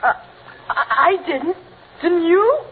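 An eager American lad of about thirteen in a golden-age radio comedy. The voice is very high and lively, jumping around in pitch. The old recording is noisy and narrow. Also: female voice, slow pace, exaggerated voice. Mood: nervous, urgent. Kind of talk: 1940s-50s radio comedy acting.

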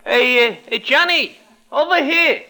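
Squeaky, creaky excitable Broadway gambler. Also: male voice, measured pace, exaggerated voice, rough recording. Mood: eager. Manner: slangy, exclamatory, questioning. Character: excitable, soft-hearted small-time Broadway betting man. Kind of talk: 1940s-50s radio drama acting.

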